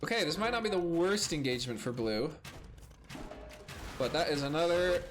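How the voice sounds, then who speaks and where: A man with a cold, hoarse and clearing his throat now and then, giving casual play-by-play commentary on a strategy game, calm analysis broken by excited exclamations. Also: a little high, normal speed.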